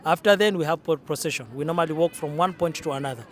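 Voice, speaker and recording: A man's voice: on the high side, fast. A young man from Kenya, interviewed outdoors at a shrine, explaining his practices earnestly with frequent restarts. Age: young adult. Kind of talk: casual interview.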